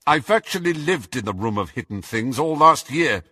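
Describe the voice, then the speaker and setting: A man's voice: medium pitch, expressive, normal speed, sharp tone, husky, no echo, studio quality. A man voicing a haughty, impatient teenage schoolboy with a brittle, tense manner in an audiobook.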